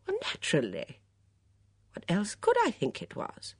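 Audiobook role: composed, no-nonsense older lady read by a woman, animated inflection, dry intimate recording. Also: mid-range voice, a little slow, slightly rough, no room sound, pitched above the performer's own voice, heavily acted. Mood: sensible, dismissive. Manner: firm, matter-of-fact, soothing. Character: composed, sensible, brisk older lady of the house. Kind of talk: audiobook character dialogue.

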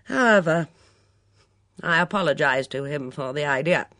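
A woman reader acts out a brusque, commanding countrywoman in an audiobook. She is blunt and loud, with clipped, no-nonsense phrasing. Her pitch moves around boldly in a close, dry recording. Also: expressive, moderate pace, dry recording. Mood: brusque, matter-of-fact. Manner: blunt, bellowing, clipped upper-class slang.

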